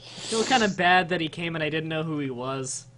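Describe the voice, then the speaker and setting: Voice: mid-range voice, animated, normal speed, a bit bright, a little hiss or hum. A streamer trading dry, teasing banter while playing a strategy game. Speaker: let's play co-streamer. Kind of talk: casual gaming chat.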